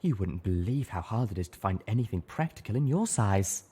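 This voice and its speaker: A man's voice: on the low side, expressive, a little fast, dark tone, husky. A man voicing an elegant, theatrical mare pony with a sweetly chiding, sing-song manner in an audiobook. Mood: breezy. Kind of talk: audiobook character acting.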